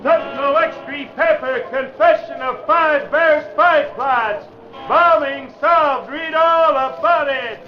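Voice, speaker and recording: A loud, eager newsboy in 1940s radio drama. His very high voice draws out each hawking cry slowly, over music. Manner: calling out in a street vendor's chant. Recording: dull vintage radio recording over music.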